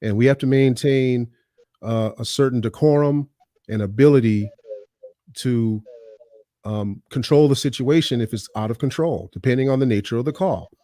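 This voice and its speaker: A man who is a retired police officer, calmly explaining police work from experience and restarting phrases as he goes. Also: mid-range voice, no echo, studio quality. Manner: measured, restarts phrases, frequent "you know".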